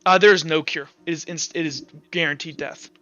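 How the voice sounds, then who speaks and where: A man with a fairly high, bright voice acts as game master. He improvises his narration, doubling back as he explains. The sound is a little dull, with music behind. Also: steady tone, dry recording. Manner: halting, backtracking explanations. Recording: slightly dull audio with music.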